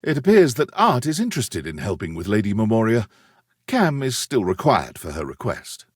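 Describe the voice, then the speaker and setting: A video game narrator giving scripted updates. The voice is fairly low and husky with very lively intonation, recorded dry and close. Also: male voice, normal speed, no room sound, full character voice. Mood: informative.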